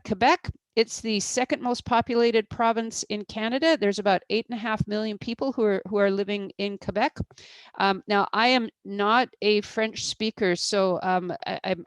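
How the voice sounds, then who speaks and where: A woman speaking in a webinar presentation, explaining step by step with plenty of "uh" and "um" and self-corrections. Her pitch sits in the middle range. The sound is dry and close, with no room around it. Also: smooth, no echo. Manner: explanatory, many fillers and restarts. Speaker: procurement expert presenter.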